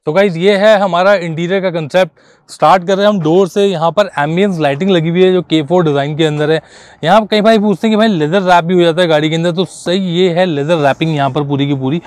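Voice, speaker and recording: High-pitched man presenting. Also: fast, no room sound. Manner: conversational, explanatory, addresses viewers as brother. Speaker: car accessory store presenter. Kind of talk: informal product walkaround for video. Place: outdoors on a dirt lot beside trees.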